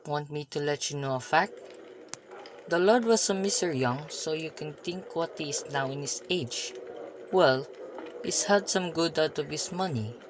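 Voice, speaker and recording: A man plays a village innkeeper in an amateur radio drama, a little higher-voiced than average. He rambles chattily and likes to gossip and insinuate, over clearly audible background noise. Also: unhurried, natural voice. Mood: gossipy, sly. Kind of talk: hobby radio drama acting.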